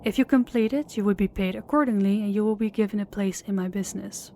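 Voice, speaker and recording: A woman's voice: mid-range voice, fast talker, mellow, a little hiss or hum, natural voice. Woman playing a cold, commanding female crime boss. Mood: cool, businesslike. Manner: formal, controlled, commanding. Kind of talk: audio roleplay acting.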